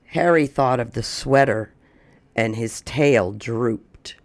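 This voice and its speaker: A storyteller reading a children's picture book aloud in an expressive way, drawing out words for emphasis. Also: medium pitch. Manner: expressive, slow, drawn-out emphasis. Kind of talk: animated children's read-aloud.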